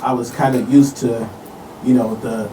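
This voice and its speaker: A man's voice: medium pitch, little pitch movement, normal speed, warm tone. An American man chatting casually as an interview guest, laid-back and peppering his talk with "you know". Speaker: guest, local performer. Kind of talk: casual chat. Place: radio studio. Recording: distant mic with faint room noise.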